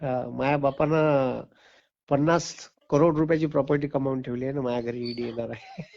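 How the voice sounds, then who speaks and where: A man's voice: medium pitch, fairly even intonation, fairly quick, creaky. A man with a rural Maharashtrian dialect on a phone call, arguing bluntly and with exasperation. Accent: rural Maharashtrian, Vidarbha-Marathwada dialect. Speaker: teacher. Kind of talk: argumentative phone conversation. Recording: muffled lo-fi phone call recording.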